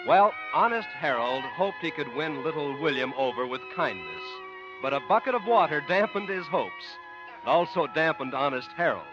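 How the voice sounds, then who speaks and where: A man announcing and narrating a 1950s radio comedy in a smooth, polished style. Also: on the high side, sing-song, moderate pace. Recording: muffled 1950 radio broadcast with music.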